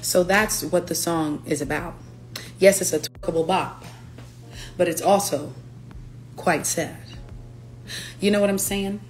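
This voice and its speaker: A young woman, a singer-songwriter, chatting playfully on a livestream from her living room, her voice raspy from allergies. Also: lowish voice, a little slow, pauses often, low-quality recording. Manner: chatty, playful, breaks into lyrics. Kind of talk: casual livestream chat. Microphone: phone camera at arm's length.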